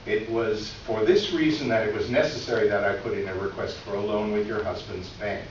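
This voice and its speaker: A man's voice: fairly low pitch, steady tone, a little fast, natural voice. Man acting an aggrieved older clerk, very echoey room. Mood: earnest, aggrieved. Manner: formal, wordy, earnest. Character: formal, aggrieved older male store clerk near retirement, confessing. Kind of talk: staged readers theater, reading a letter aloud. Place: live reading in a reverberant room. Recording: echoey room from far off, dull, noisy.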